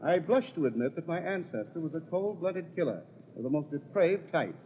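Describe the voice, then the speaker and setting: An actor playing a suave English bachelor with a British accent, formal and ominous, in an old-time radio drama. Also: male voice, fairly high pitch, expressive, a little fast, lo-fi sound, lightly acted. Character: suave English bachelor host warning of family ghost. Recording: thin, noisy vintage radio recording.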